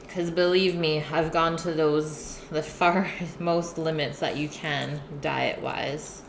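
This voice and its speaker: A woman hosting a casual cooking livestream from her home kitchen, chatting with viewers and answering their messages as she works. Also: deep voice. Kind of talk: casual cooking stream chat. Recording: echoey kitchen with background noise.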